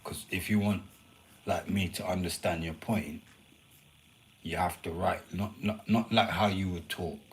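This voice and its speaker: A man's voice: low voice, steady tone, unhurried. A man casually chatting and rambling to his viewers on a live stream, swearing now and then. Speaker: livestreamer.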